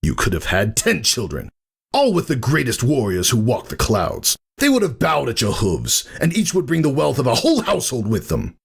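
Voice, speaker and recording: A man's voice: mid-range voice, wildly swooping pitch, normal speed, creaky, breathy edge, voice pushed up, full character voice. A man voicing a proud, furious pegasus warrior in a dramatic story reading, scornful and declamatory. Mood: raging, scornful. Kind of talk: dramatic fan fiction reading.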